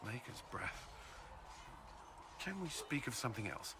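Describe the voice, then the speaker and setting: A man's voice: medium pitch, very animated, background noise. An actor playing an earnest, dutiful military commander in a fantasy video game, a little hesitant in his speech. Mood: flustered, halting. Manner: hesitant, halting when flustered.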